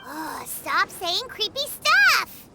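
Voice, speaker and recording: A woman voicing a tiny childlike fairy companion in a video game, squeaky, blunt and easily spooked. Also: wildly swooping pitch, slow, gravelly, heavy background noise, exaggerated voice. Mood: indignant. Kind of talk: video game character dialogue.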